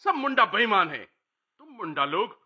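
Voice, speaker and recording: A high, husky-voiced mission priest in a radio drama, contemptuous and angry. His pitch swings wildly as he scolds, slipping English phrases into his Hindi. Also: sing-song, normal speed, highs cut off, exaggerated voice. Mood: scornful. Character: haughty, scornful colonial mission priest, angry scolding man. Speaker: actor. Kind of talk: audio drama acting.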